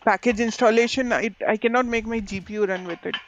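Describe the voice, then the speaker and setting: An earnest, direct man from India calls into a podcast chat from outdoors. His voice sits high for a man. The audio comes through dull and lo-fi with faint noise. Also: steady tone, fast talker. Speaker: podcast guest. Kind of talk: casual roundtable chat.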